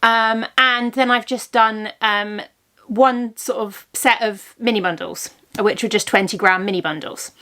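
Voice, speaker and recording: A woman's voice: a little high, fairly even intonation, a little slow, bright, forceful tone. A woman in her thirties chatting casually in a vlog, a little congested from a cold, rambling with lots of ums and self-corrections. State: congested, blocked ear from a cold. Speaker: vlogger, yarn dyer.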